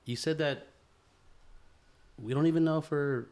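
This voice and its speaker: A man's voice: on the high side, measured pace, a little mellow. A man co-hosting a podcast, talking in a heartfelt, rambling way and swearing casually. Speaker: podcast co-host. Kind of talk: casual chat.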